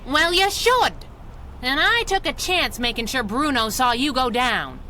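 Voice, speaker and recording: Tough young masked crimefighter, 1930s radio style: sharp, animated, needling wisecracks. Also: female voice, sits high, measured pace, slight background noise, heavily acted. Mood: brash, scolding.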